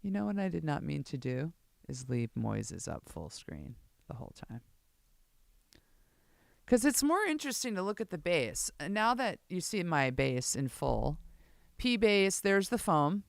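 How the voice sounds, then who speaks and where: Low-voiced woman host, slow, lively-pitched, rambling chat with viewers over loud bass music. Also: vocal fry, no echo. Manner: rambling, self-joking, addresses viewers as "guys". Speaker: bassist and singer hosting a live session. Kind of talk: casual chat to viewers during rehearsal. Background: loud bass guitar and music.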